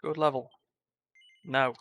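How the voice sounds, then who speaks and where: A man's voice: mid-range voice, steady tone, slow, a bit bright. The man you'd find on a late stream, muttering tactics and blurting playful sound words over game music. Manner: playful exclamations and muttered asides. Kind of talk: live gameplay commentary. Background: video game music.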